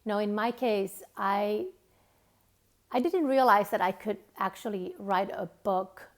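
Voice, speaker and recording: Finnish woman giving a gentle motivational talk. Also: medium pitch, measured pace. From Finland. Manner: gentle and reflective, with many fillers and restarts.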